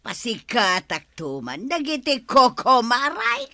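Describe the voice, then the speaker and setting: Bossy village wife, acted by a woman, Ilocano accent, forceful bright voice, dry close sound, comedy radio drama. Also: on the high side, sing-song, takes it slowly, dry recording, exaggerated voice.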